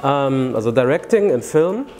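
A man's voice: mid-range voice, big pitch swings, moderate pace, a little mellow, faint music behind. A young man, a film student answering interview questions in a thoughtful, rambling way. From Germany. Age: young adult. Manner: thoughtful, rambling, gesturing with his hands. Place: indoor corridor.

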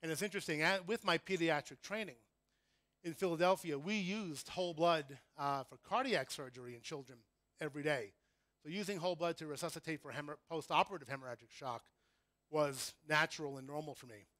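A middle-aged American man speaks to a conference as a physician lecturer. His voice sits a little high for a man, and the sound is dry and close. He talks informally and haltingly, correcting himself as he goes. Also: fairly bright tone, dry recording. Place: conference room before an audience.

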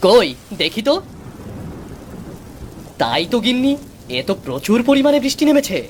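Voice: mid-range voice, very animated, normal speed, slight background noise, natural voice. An actor playing an easygoing village husband in a cartoon, grumbly but affectionate, with a folksy, exclaiming way of talking. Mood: relaxed, pleased. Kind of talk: cartoon voice acting.

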